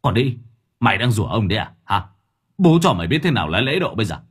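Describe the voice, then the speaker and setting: A man's voice: on the low side, very animated, fast, husky, heavily acted. A man voicing a panicky, foul-mouthed village man who wails and curses. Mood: raging. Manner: wailing, cursing, yelling.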